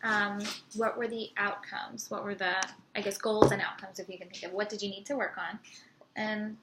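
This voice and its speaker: A young woman interviewing a patient, asking steady questions with some fillers. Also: mid-range voice, moderate pace, very noisy. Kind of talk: clinical interview.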